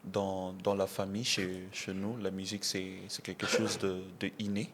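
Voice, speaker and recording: A young man from Kinshasa, a gospel artist answering interview questions in a measured way, often saying voilà. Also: sits low, little pitch movement, noisy background. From Kinshasa, DR Congo. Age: young adult.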